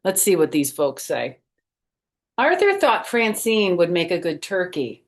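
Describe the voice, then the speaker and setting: A woman's voice: on the low side, sing-song, measured pace. A woman reading a picture book aloud to children, explaining things and asking her young listeners questions as she goes. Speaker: storytime reader and former first grade teacher.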